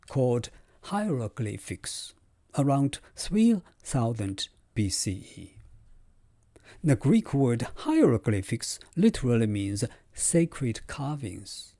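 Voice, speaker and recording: A male professor, explaining his subject in a measured, scripted way. His pitch moves a lot, lifting to stress key points, and his voice is warm and mellow. The recording is dry and close, with no room sound. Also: dry recording. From China. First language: Chinese. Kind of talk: scripted lecture.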